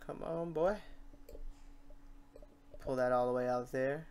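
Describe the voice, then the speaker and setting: A man hosting an off-the-cuff software tutorial, informal and rambling, muttering to himself as he works. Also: medium pitch, slow, gravelly, clear, resonant tone. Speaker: tutorial host.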